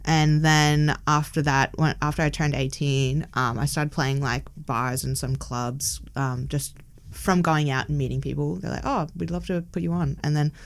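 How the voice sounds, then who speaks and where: A woman's voice: deep voice, fairly even intonation, normal speed. A young woman from Hong Kong, raised in Melbourne, chatting casually as a podcast guest and filling her talk with "like". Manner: chatty, many "like" fillers, laughs often. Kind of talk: casual interview chat.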